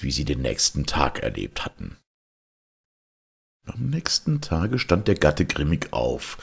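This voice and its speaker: A man reading a fairy tale aloud as a storyteller, in a theatrical, deliberate and dramatic manner. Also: very deep voice, creaky, husky. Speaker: storyteller, reader.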